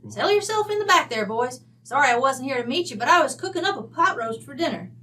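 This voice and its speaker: Brash, high-pitched Western rancher widow. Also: female voice, expressive, fast, fairly bright tone, a little hiss or hum. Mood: brisk, hearty. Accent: American West. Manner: blunt, slangy, bossy. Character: brash, folksy wealthy widowed cattle rancher from Wyoming. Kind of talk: old-time radio drama acting.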